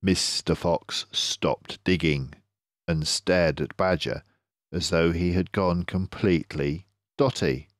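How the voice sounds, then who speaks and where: Older man reading a storybook slowly. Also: deep voice, no room sound, clean studio sound. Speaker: storyteller reading a children's book aloud. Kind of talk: scripted storybook narration.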